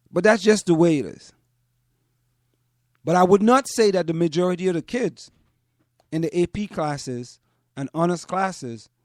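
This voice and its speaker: A man with a high voice, recorded dry and close. He talks to listeners as a host giving commentary, deliberately, repeating phrases to drive them home. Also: darkish tone, no echo. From Trinidad and Tobago. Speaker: host of a community parents' talk show.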